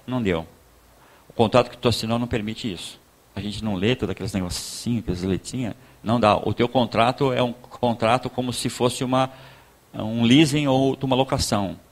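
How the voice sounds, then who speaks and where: A man with a southern Brazilian accent from Rio Grande do Sul, preaching informally in a conversational, halting storytelling way, repeating words and acting out dialogue. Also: mid-range voice. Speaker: pastor giving a talk. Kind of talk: informal sermon with personal testimony.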